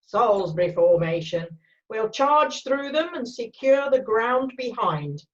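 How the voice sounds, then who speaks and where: A woman reading the part of a resolute, formal male cavalry officer in an amateur screenplay read-through, with measured military phrasing. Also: on the high side, very animated, muffled recording. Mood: brisk, confident.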